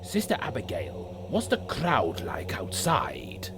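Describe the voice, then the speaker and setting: A man's voice: low voice, huge pitch swings, slow pace, background noise, exaggerated voice. An actor playing a pompous, cowardly preacher who bosses his attendants around. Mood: imperious. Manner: imperious, commanding.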